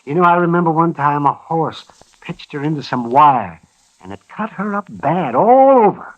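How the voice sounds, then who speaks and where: An actor playing a crusty, garrulous older town doctor in a radio western, folksy and emphatic, with an American accent. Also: male voice, a little high, very animated, normal speed. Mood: chatty, rueful. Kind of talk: 1950s radio western acting. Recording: muffled vintage radio recording.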